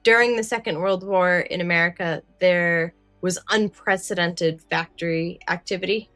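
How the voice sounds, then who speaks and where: Low-pitched woman chatting on a podcast. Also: fairly even intonation, moderate pace, fairly bright tone, no room sound, soft background music. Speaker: podcast co-host. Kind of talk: casual podcast discussion of an old radio drama.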